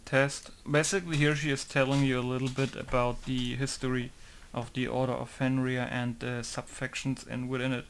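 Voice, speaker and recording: Gravelly, rambling young man. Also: medium pitch, little pitch movement, normal speed, few pauses, slight background noise. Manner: rambling, chatty asides. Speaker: game modder. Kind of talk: casual working commentary.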